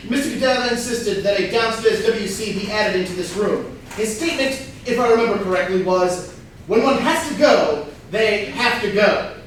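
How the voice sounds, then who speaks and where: A man's voice: sits high, moderate pace, lightly acted. A man acting a formal, dutiful, literal-minded butler in a staged comedy reading. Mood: formal, composed. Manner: formal, deferential, announcing. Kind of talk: theatrical comedy play reading. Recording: very echoey room, distant mic, faint noise.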